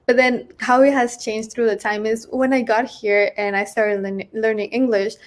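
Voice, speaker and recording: A woman's voice: on the high side, level tone, moderate pace. A woman who grew up partly in Mexico, speaking as a panelist in a chatty way with lots of "you know". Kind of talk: casual panel talk.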